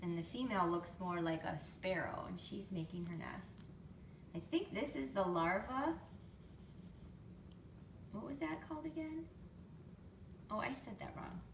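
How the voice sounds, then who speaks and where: A woman reading a picture book to young children expressively, pausing to explain things and ask them questions. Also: mid-range voice, lively intonation, vocal fry. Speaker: storytime reader, teacher to young children. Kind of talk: picture book read-aloud with chatty asides. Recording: roomy, distant mic, faint background noise.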